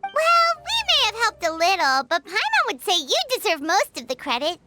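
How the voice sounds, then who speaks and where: A woman voicing a tiny, cheerful, childlike fairy companion in an English game dub, with a squeaky voice. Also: huge pitch swings, moderate pace, sharp tone, no echo, heavily acted. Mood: earnest, curious. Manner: bubbly, refers to self in third person. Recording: music behind the voice.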